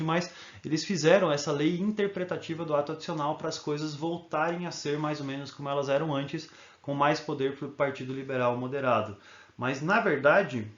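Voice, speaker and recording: A man's voice: fairly high pitch, roomy sound, muffled recording. A male history teacher giving a recorded video lesson, explaining in an informal way and often checking in with tag questions.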